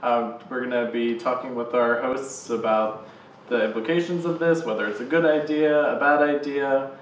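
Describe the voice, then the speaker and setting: A man moderating a student panel discussion, introducing the topic in a calm, measured way. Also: mid-range voice, echoey room, distant mic, a little hiss or hum, low-quality recording. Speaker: host. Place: studio with panel on a couch.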